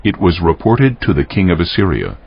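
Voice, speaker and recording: A man narrating scripture from a script in a solemn, measured, dramatic storytelling style. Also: low-pitched, very animated, creaky, breathy edge. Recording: dull lo-fi audio, faint music underneath.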